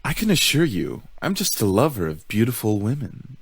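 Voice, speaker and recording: Casting: smooth-talking, vain incubus for visual novel, teasing flirt, animated intonation, dry sound. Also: male voice, mid-range voice, moderate pace, no room sound. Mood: flirtatious. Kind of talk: visual novel game acting.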